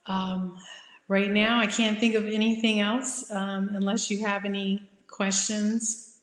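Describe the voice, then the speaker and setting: A woman's voice: medium pitch, unhurried. Midwestern woman on a roomy video call. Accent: Midwestern American. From American Midwest. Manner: relaxed, informal with many fillers. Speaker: guest speaker. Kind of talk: webinar talk.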